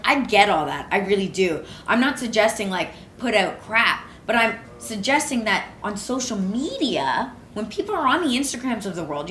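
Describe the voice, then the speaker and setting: Female co-host, mid-pitched, direct and conversational, recorded in an echoey room with light rumble. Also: fairly bright tone. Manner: direct, conversational, explaining step by step. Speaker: podcast co-host. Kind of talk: casual chat.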